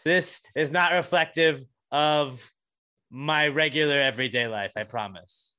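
A man's voice: fairly high pitch, unhurried. A man, hosting a casual podcast chat and joking around. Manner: joking, animated, laughs often. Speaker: podcast host.

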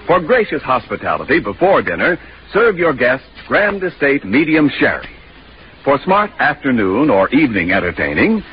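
An American man reading a 1940s radio advertisement as a commercial announcer, with a polished, formal sales delivery. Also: mid-range voice. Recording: noisy, dull 1940s radio recording.

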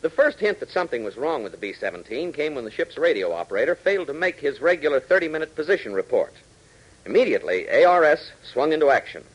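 Animated American radio announcer, slightly husky man. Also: a little high, poor audio. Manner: emphatic, dramatic announcer delivery. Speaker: announcer and narrator. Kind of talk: 1940s radio scripted narration. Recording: old 1940s radio broadcast.

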